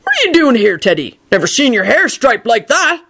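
A woman voicing a gruff, rustic giant gamekeeper man who scolds suspiciously in exclamations. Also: fairly high pitch, big pitch swings, fairly quick, pushed and bright, voice pushed up, exaggerated voice.

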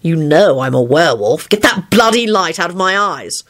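A woman's voice: on the low side, lively intonation, normal speed, a bit bright. A woman voicing a short-tempered, sardonic male werewolf wizard in a narrated story, curt and snarling. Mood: curt, irritated.

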